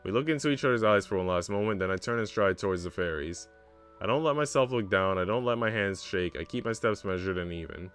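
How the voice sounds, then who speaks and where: A man's voice: fairly low pitch, fast, a bit bright, smooth, faint music behind. A man streaming a visual novel, reading its narration aloud and adding casual joking asides.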